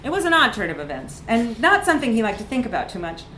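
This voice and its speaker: A woman reading a comic short story aloud in a live literary reading, with expressive, dramatic emphasis. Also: fairly high pitch, fast. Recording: echoey room with background noise.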